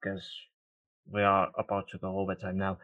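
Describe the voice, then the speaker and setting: A man whose first language is Estonian, hosting a podcast in casual interview chat, relaxed and laughing easily. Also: sits low, normal speed. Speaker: podcast host. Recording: dull lo-fi audio.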